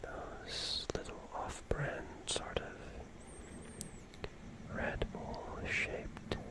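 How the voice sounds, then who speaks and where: An ASMR performer whispering a monologue in a deliberate, whimsical, mock-formal manner with long pauses. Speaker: ASMR streamer playing a character.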